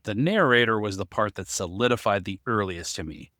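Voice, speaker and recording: A man's voice: fairly low pitch, lively intonation, a little fast, pushed and bright, no echo, clean studio sound. A man explaining things conversationally as a podcast co-host.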